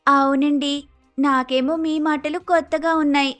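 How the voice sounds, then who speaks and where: A woman's voice: high-pitched, fairly even intonation, measured pace, a bit bright, soft background music. A woman voicing a polite, naive young daughter-in-law with an Andhra Telugu accent, exclaiming in a cartoon story. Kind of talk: acted dialogue in animated moral story.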